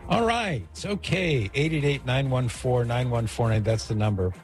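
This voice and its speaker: A man's voice: medium pitch, normal speed, faint music behind. A middle-aged man from the United States hosting a talk radio show in a casual, conversational way, with rambling asides as he reads and reminisces. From United States, Los Angeles area. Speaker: radio call-in show host. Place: radio studio.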